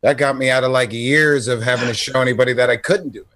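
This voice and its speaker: A man co-hosting a radio show, telling comic stories in a casual, halting, self-interrupting way. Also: mid-range voice, fairly even intonation, fairly bright tone.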